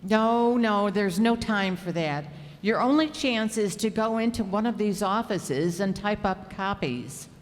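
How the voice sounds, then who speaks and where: Sitcom station woman, female actor: measured pace, businesslike, hall echo with faint noise. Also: mid-range voice, natural voice. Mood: brisk, concerned. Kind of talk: radio sitcom acting.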